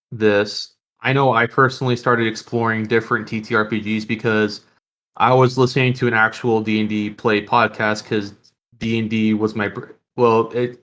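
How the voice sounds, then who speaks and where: A man's voice: lowish voice, a bit bright. Rambling man chatting on a podcast. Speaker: podcast co-host. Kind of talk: casual podcast chat.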